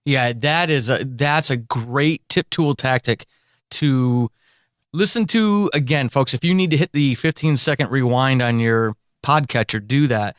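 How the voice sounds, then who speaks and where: A man's voice: mid-range voice, measured pace, no room sound, studio quality. A man hosting a podcast, speaking in an encouraging way straight to his listeners. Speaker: podcast host. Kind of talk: conversational interview with promotional narration.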